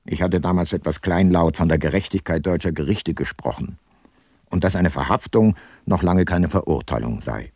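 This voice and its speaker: An actor playing a weary, guilt-laden ageing private detective and former prosecutor in a radio play, sober and reflective. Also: male voice, sits low, normal speed, darkish tone, no echo, clean studio sound. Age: older. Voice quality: husky. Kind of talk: first-person radio play narration and dialogue.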